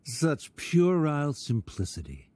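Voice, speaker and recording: A man playing a haughty, cold scholar in a video game, formal, measured and condescending. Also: on the high side, wildly swooping pitch, darkish tone, heavily acted. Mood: disdainful. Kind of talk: video game character voice acting.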